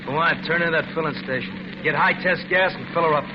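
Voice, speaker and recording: An actor playing a menacing gunman in an old radio crime drama, curt and bossy, with rough slangy speech. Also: on the high side, brisk, close to an everyday voice. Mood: threatening. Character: menacing male gunman hiding in a back seat. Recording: muffled, noisy old radio recording.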